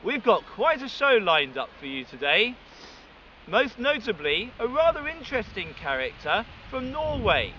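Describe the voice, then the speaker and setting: A man's voice: high-pitched, lo-fi sound, noisy background. A man introducing a mock sports show in a mock-formal presenter tone.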